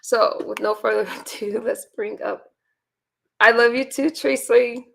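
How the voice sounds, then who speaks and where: A hoarse woman hosting a casual livestream, rambling and talking straight to her chat. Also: a little high, steady tone, unhurried, a bit bright. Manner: rambling, talks to the chat directly. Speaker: livestream host.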